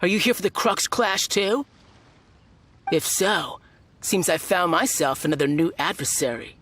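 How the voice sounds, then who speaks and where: A smug, boastful tournament rival. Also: female voice, lowish voice, animated, unhurried, a bit bright, slight background noise. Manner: smug, self-assured, boastful. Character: boastful self-styled perfect tournament rival. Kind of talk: video game voice acting. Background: game music.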